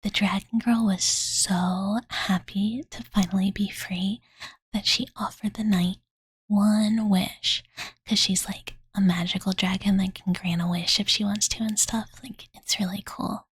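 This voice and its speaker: A woman voicing an affectionate young dragon girl in a soft, breathy ASMR whisper, close to the mic, with a casual, cutesy way of talking. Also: mid-range voice, steady tone, measured pace, no room sound. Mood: cozy, dreamy. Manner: cozy, cutesy, casual, filler-laden, doting. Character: affectionate, possessive young dragon girl telling bedtime story. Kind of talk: ASMR roleplay. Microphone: close studio condenser mic.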